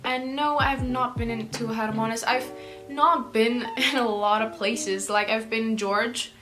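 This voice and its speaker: South african teen girl vlogging, kinda high voice, rambly and chatty, music playing behind. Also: steady tone, measured pace. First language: Afrikaans. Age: teens. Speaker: YouTuber. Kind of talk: casual Q&A vlog.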